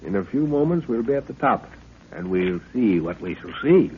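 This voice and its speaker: A man's voice: medium pitch, very animated, normal speed, husky. A man playing a middle-aged professor and father, hearty and commanding, leading an expedition in a 1930s radio adventure. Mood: musing, assured. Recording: tinny, noisy 1930s radio recording.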